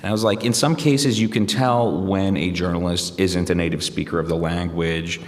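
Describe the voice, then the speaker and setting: Deep-voiced man recounting memories, reflective. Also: steady tone, moderate pace, big-room sound. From United States. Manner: reflective, hesitant with many fillers and restarts. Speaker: journalist guest. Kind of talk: conversational interview answers.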